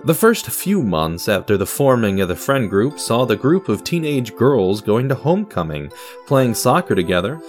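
Animated low-voiced man narrating radio theatre. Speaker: narrator of an audio drama series.

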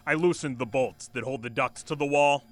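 An actor playing an exasperated, defiant vice president in an audio drama, sarcastic in delivery. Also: male voice, medium pitch, moderate pace, fairly bright tone, close to an everyday voice.

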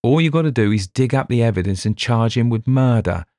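A man's voice: mid-range voice, expressive, fairly quick, a little mellow, no room sound, studio quality, voice pushed up, natural voice. A man voicing a lazy, foul-tempered police detective who grumbles and blusters coarsely. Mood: blustering.

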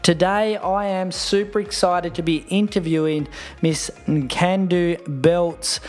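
A man's voice: high voice, a little mellow. A man hosting a podcast, introducing his guest with enthusiasm. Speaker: podcast host. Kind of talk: podcast interview.

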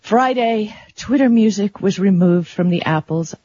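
A woman's voice: mid-range voice, animated, a little slow, dark tone, breathy edge, lo-fi sound. A woman co-hosting a radio show in casual banter, speaking in a dry, blunt way.

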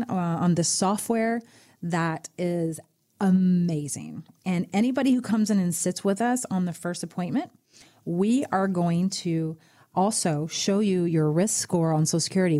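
A middle-aged woman chats on a financial radio show in a mellow, fairly low voice. She is animated and drops quick exclamations as she runs through the numbers. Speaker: podcast co-host, financial advisor. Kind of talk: casual radio chat.

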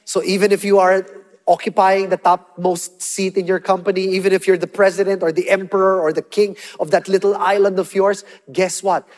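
A man in his thirties preaching in a high, bright voice. He swings between loud, punchy lines and soft, hushed ones, and calls out to the congregation for answers. His voice rings with the long reverb of a large hall. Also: level tone, a little fast. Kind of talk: sermon with call-and-response.

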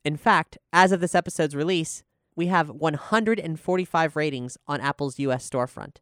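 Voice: medium pitch, normal speed, fairly bright tone, clean tone, no room sound, clean studio sound. A podcast host talking casually and earnestly in an articulate way during a Q&A discussion.

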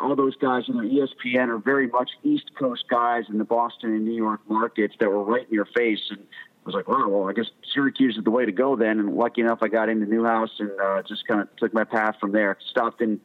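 A man's voice: medium pitch, steady tone, a little fast. American guy in his 40s on a thin phone line, chatty rambling sports talk, radio guest type. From Connecticut, United States. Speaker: sports journalist and podcaster. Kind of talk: casual interview talk on sports.

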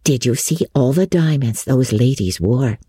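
A woman's voice: extremely low pitch, measured pace, warm tone, breathy edge, dry recording, clean studio sound, full character voice. A woman voicing a wistful teenage girl who sighs and dreams of riches, in a storybook reading. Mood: wistful, excited. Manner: sighing, wistful.

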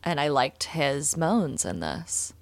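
Woman, podcast host, lowish voice with very lively intonation, casual comedy chat. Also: takes it slowly, gravelly, dry recording.

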